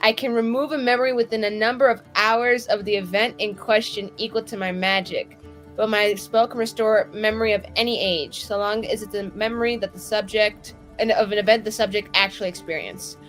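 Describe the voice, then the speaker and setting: A woman's voice: mid-range voice, fairly even intonation, fairly quick, a bit bright. A woman playing in a tabletop roleplaying show, chatting in a casual, improvised way. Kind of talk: casual improvised game chat. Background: faint music.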